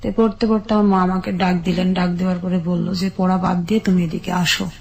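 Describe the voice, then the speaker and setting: A woman with a Bangladeshi accent tells her personal story in an interview, measured and step by step in short phrases. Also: on the low side, flat delivery, vocal fry, darkish tone. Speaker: guest telling her life story.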